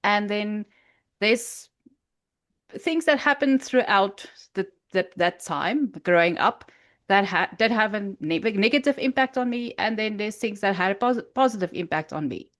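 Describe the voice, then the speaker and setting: A woman from South Africa with an Afrikaans accent, a podcast guest telling her life story haltingly, with many restarts. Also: medium pitch, animated, a bit bright. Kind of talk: personal story in an interview.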